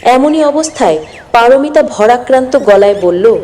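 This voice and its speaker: A woman's voice: a little high, lively intonation, normal speed, warm tone. A woman narrating a scripted moral story in an earnest, dramatic storytelling manner. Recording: long hall-like reverb.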